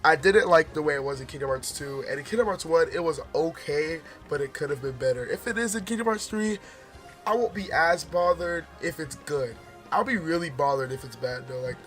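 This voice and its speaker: Hoarse man rambling through trailer commentary. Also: medium pitch. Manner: rambling, many fillers and restarts; forcing his voice out. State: hoarse, losing his voice. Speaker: gaming video host.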